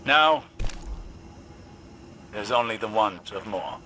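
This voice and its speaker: A man playing a weary, reflective warrior mentor in a video game, speaking in measured, deliberate, poetic phrases. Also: fairly low pitch, pushed and bright, a little hiss or hum. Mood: solemn, reflective. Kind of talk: dramatic video game monologue.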